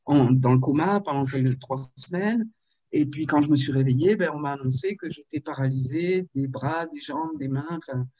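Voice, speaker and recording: A woman's voice: low voice, normal speed, warm tone, breathy edge, no echo, highs cut off. Hesitant woman with a dry sound. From Marseille, France. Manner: hesitant, with many fillers and restarts. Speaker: author and interview guest. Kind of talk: personal life story in a casual interview. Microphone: video call.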